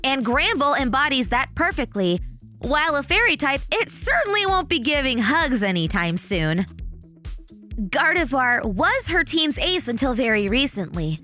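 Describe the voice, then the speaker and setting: Bright high-voiced woman narrating dramatically. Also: moderate pace, soft background music. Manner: dramatic, animated storytelling delivery. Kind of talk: scripted video narration.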